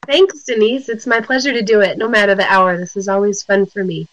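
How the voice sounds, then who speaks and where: A woman's voice: medium pitch, steady tone, a little fast, fairly bright tone, dull audio. A woman biologist giving a friendly, informal webinar lecture, her voice a little off from a cold she is getting over. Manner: friendly, informal explaining with frequent ums. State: recovering from a cold. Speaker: guest speaker, bee biologist. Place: home in New Mexico.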